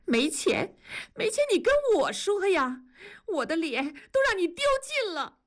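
A woman voicing a proud, educated young wife who scolds her husband in a fast, shrill voice. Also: husky. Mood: furious.

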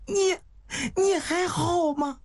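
A woman's voice: very high-pitched, expressive, very slow, pitched above the performer's own voice, full character voice. A woman storyteller voicing a desperate, devoted young woman in a tearful, pleading way, for a dramatic audio story. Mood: alarmed, tearful.

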